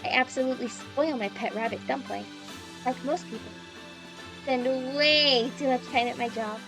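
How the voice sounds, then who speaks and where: A woman's voice: fairly high pitch, moderate pace, over music, lightly acted, low-quality recording. A woman voicing a young, wishful secret superhero heroine in a visual novel, chatty and dramatic. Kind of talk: visual novel character narration.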